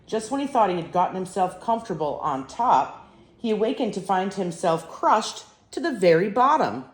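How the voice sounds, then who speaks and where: An older woman reading a children's storybook aloud as a grandmother would, in an expressive, emphatic way. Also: medium pitch, moderate pace, reverberant.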